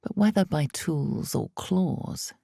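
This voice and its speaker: A brooding fantasy narrator with a very low, gravelly voice. She speaks slowly and deliberately, in a mellow, dark tone, sometimes sinking to a whisper. The recording is dry and close. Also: animated, dry recording, full character voice. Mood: ominous. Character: unseen female storyteller of a dark fantasy game. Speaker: game narrator. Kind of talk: scripted second-person game narration. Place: studio.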